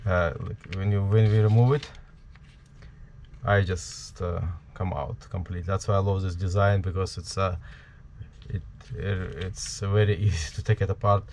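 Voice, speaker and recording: A man with a heavy Ukrainian accent, giving a hands-on tutorial at a workbench in a halting way, full of fillers. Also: deep voice, fairly even intonation, a little mellow, a little hiss or hum. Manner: halting, many fillers, talks while working. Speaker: prop maker.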